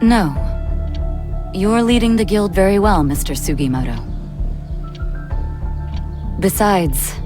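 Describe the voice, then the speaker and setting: An actress playing a resolute, cool guild fighter in an anime game, firm and blunt. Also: female voice, medium pitch, slow, long pauses, music in the background, natural voice. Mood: cool reassurance. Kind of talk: anime game cutscene acting.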